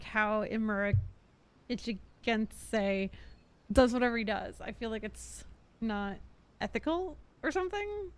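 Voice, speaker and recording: Giggly high-voiced woman livestreamer. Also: clean tone. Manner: giggly, bubbly, laughs often. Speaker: livestreamer host. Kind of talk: casual chat with live viewers while gaming.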